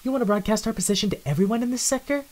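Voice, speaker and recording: Man putting on a high, snappy female mouse soldier. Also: very fast, a little mellow, natural voice. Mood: scornful, scolding. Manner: snappy, sarcastic. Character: scolding, sharp-tongued female mouse soldier, sarcastic.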